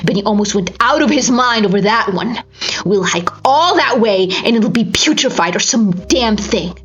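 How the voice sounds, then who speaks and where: A woman's voice: mid-range voice, lively intonation, moderate pace, a bit bright, husky, lo-fi sound, faint music behind. A woman voicing a cynical, fed-up starving man with a bitter, dismissive manner in a story reading. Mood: bitter frustration.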